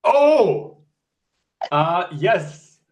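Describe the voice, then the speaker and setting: A man's voice: high voice, big pitch swings, drawn-out words, darkish tone, reverberant, recorded from a distance. A young man from Norway, an actor talking earnestly in a casual interview, often restarting his phrases. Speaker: actor and model, interview guest. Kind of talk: reflective casual conversation.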